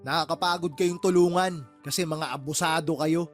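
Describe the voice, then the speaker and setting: A man's voice: a little high, fairly even intonation, moderate pace, soft background music, lightly acted. A man playing a fed-up, exasperated uncle and boss in a Tagalog radio drama, scolding his sister with heated, sarcastic questions. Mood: angry, fed up.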